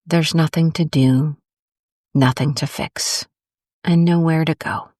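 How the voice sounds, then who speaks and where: A woman guiding a meditation in a gentle, calm, unhurried way with long pauses between phrases. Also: low-pitched, no echo, clean studio sound. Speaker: guided meditation host. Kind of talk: soothing guided meditation narration.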